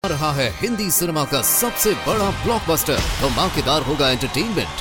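A man's voice: a little high, lively intonation, very fast, music in the background. A man voicing an ad with a hyped, punchy promotional delivery. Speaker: ad announcer.

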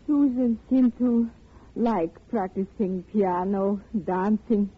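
An actress, speaking halting, sorrowful broken English. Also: female voice, medium pitch, breathy edge. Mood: sad, wistful. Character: grieving, weary immigrant mother, listless and resigned. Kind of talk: 1950s radio drama acting. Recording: muffled, tinny old radio recording.

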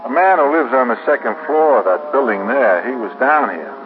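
An actor playing a respectful, plain-spoken police sergeant in a 1950s radio drama, reporting in a dutiful, matter-of-fact way. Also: male voice, medium pitch, animated, a little fast, narrow, tinny audio, lightly acted. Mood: grave, reporting. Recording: phone-thin, hissy 1950s radio broadcast.

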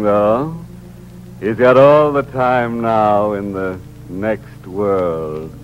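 Ghoulish, slow-talking radio horror host. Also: male voice, fairly low pitch, highs cut off. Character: macabre horror host, gleefully ghoulish, mock-friendly man. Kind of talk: 1940s radio horror hosting, tongue-in-cheek. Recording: vintage radio recording, music behind.

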